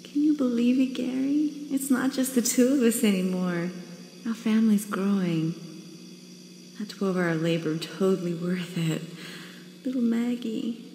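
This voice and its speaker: A woman's voice: mid-range voice, a little slow, warm tone, lightly acted. An actress playing a loving young wife and mother in a game, speaking intimately and affectionately. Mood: delighted. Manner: affectionate, tender. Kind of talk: intimate game dialogue, letters read aloud. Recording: echoey reverberant space, faint noise.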